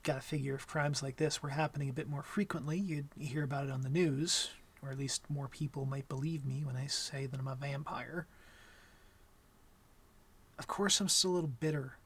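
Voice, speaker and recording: A young man playing an awkward, gentle vampire in an intimate ASMR roleplay, talking softly and hesitantly with a wry streak. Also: mid-range voice, fairly even intonation, moderate pace, husky. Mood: protective, sheepish, then awkward, tender. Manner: hesitant, soft-spoken, wry.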